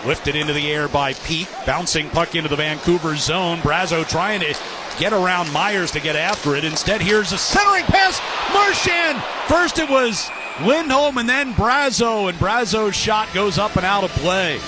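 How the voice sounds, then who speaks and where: A man's voice: high-pitched, normal speed. A man doing live radio hockey play-by-play, describing the action in clipped phrases. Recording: noisy live arena broadcast. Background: loud arena noise.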